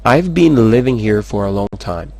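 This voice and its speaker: A man teaching English, saying model sentences slowly and switching quickly into rapid explanations. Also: fairly low pitch, lively intonation, mellow, no room sound. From Madagascar. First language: Malagasy. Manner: slow, careful model sentences between fast explanations. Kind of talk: language lesson, explaining in Malagasy and French.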